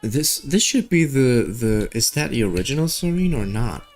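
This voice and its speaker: A gaming streamer with a mid-pitched voice talks casually through a playthrough, music faintly behind. The delivery drifts between muttered reactions and reading on-screen text aloud, sometimes trailing off. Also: big pitch swings, moderate pace.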